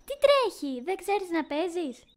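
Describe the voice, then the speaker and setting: A woman voicing a playful, bold young lion cub girl in an animated film fan dub, with childlike, excitable expression. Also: very high voice. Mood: excited, playful. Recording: music under the voice. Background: film music.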